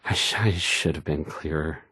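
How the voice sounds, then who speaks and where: A man's voice: extremely low pitch, normal speed, bright, forceful tone, slightly rough, no echo, exaggerated voice. A man acting a shy, nervous young radio DJ in a video game, stammering, hesitant and unsure of himself. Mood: nervous, apologetic. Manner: stammering, hesitant, self-doubting, trailing off. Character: painfully shy, nervous, awkward male radio DJ.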